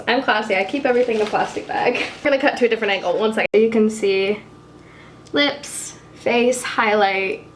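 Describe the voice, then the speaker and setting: A young woman with a low, husky voice chatting casually while doing her makeup, self-mocking and swearing offhandedly. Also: echoey room, a little hiss or hum. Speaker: YouTuber doing a makeup tutorial. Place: apartment room.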